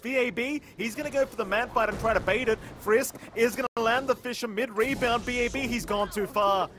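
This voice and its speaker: Excitable high-voiced male caster. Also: fairly quick, a little hiss or hum. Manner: excitable, teasing, rises sharply in big moments. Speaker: esports caster. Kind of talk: play-by-play commentary.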